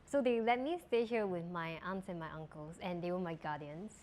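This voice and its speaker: A woman's voice: on the low side, normal speed, darkish tone, a little hiss or hum. A young adult woman from Taiwan, chatting casually as a podcast guest and filling her talk with "like". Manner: chatty, lots of filler "like". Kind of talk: casual chat.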